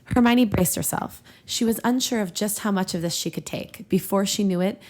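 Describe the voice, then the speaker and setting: A woman's voice: medium pitch, moderate pace, a little mellow, rough recording. A woman hosting a podcast, reading fan fiction aloud and breaking off into giggly, irreverent, conversational asides. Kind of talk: storybook-style reading mixed with casual banter.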